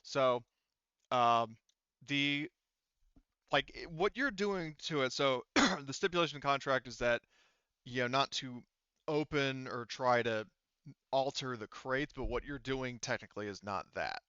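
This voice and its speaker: Bright, clear-voiced man, dry sound. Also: medium pitch, unhurried, no room sound, lo-fi sound. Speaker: game master. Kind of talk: running a tabletop roleplaying game, casual explaining.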